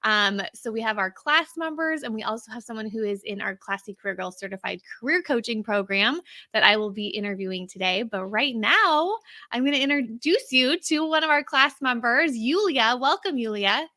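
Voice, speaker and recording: A woman hosting a live-stream interview in an excitable, gushing manner. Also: a little high, normal speed. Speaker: host interviewing class members.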